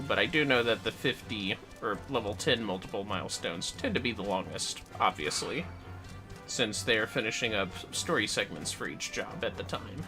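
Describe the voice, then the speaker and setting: A man hosting a gaming livestream with casual commentary, rambling a little as he plans aloud. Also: fairly low pitch. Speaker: livestream host.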